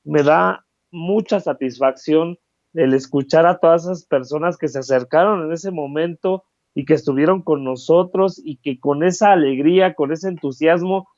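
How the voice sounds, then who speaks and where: A man with a Mexican Spanish accent gives earnest political commentary, stacking repeated phrases for emphasis. His voice sits a little high. The recording is dry and dull, with a lo-fi edge. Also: moderate pace, darkish tone, no room sound.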